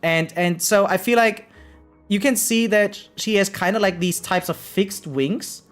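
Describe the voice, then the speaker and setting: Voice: mid-range voice, measured pace. A streamer in their thirties giving casual live commentary, rambling and often tagging sentences with "right?". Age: 30s. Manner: rambling, keeps adding "right?". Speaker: variety game streamer. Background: faint music.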